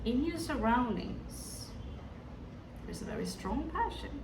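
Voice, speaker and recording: Woman tarot reader with a Hindi-tinged accent, unhurried and musing, distant mic in a reverberant room with noise behind. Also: on the high side, big pitch swings. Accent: Hindi-influenced. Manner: musing, hesitant, talks to the viewer directly. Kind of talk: tarot reading to viewers.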